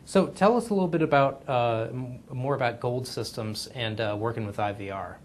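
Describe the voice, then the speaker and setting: A man asking questions in a casual interview as the interviewer. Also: moderate pace. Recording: clear low rumbling room noise.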